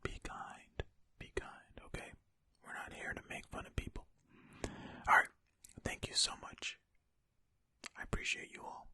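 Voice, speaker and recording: An ASMR host speaking in a breathy, unvoiced whisper, reading aloud and commenting in a gentle, chatty, informal way. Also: a little slow, no echo.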